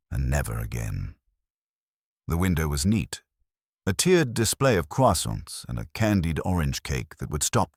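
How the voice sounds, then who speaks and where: Guy reading a noir detective story, super deep gravelly husky voice, dry close studio sound, wry delivery. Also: dry recording. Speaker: audiobook narrator. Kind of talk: scripted first-person detective story narration.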